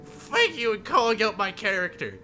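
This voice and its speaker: A man live streaming a visual novel with casual, animated, self-deprecating and profane commentary. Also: high voice, moderate pace, faint music behind.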